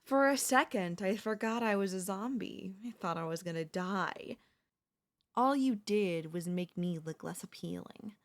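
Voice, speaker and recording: A woman's voice: medium pitch, lively intonation. A woman voicing a chatty, teasing zombie in a comedic audio roleplay, talking casually and playfully straight to the listener. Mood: rattled, wry. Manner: casual, playful, taunting, slangy, drawn-out delivery. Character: chatty, teasing, cocky female zombie hunting a human survivor.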